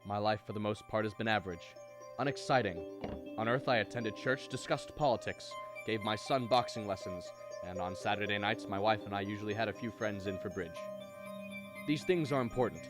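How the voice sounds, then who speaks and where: Man playing grave low-voiced American radio drama everyman. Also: brisk, music in the background, close to an everyday voice. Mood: grave, reflective. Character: earnest abducted math teacher, husband and father, narrating. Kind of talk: 1950s radio drama acting.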